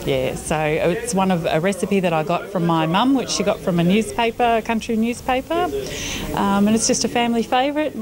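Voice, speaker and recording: A woman's voice: mid-range voice, a little fast. A woman giving a casual interview answer as a competition winner. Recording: crowd chatter behind.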